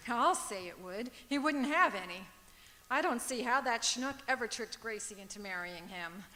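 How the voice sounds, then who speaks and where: A woman playing a wry, sharp-tongued wife in an old-time radio sitcom. Her voice is bright and highish, with lively, emphatic swings, ringing through a big auditorium. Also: moderate pace. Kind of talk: old-time radio comedy acting on stage. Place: stage of a performing arts auditorium. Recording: echoey auditorium with long reverb.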